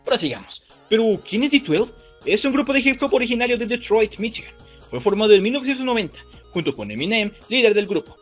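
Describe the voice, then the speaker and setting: Male video host, high register, very lively intonation, expressive scripted narration. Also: fast, soft background music.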